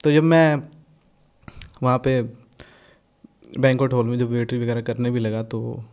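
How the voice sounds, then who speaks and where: A young man from Punjab talking casually on a podcast, blunt and animated, swearing freely and mixing in English. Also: medium pitch, measured pace, clear, resonant tone. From Punjab, India. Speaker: podcast guest, rapper.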